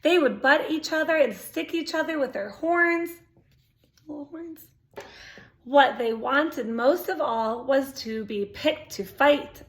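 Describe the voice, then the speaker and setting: A woman's voice: high voice, fairly bright tone. A woman reading a picture book aloud to young children, expressively, with exclamations, asides and questions to her listeners. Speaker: storytime reader.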